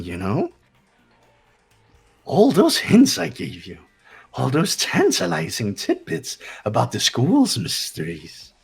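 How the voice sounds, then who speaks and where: Game villain: sadistic bear mascot, slightly husky, wildly animated, gloating and theatrical. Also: medium pitch, full character voice. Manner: taunting, theatrical, swooping. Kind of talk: fan-voiced video game villain acting.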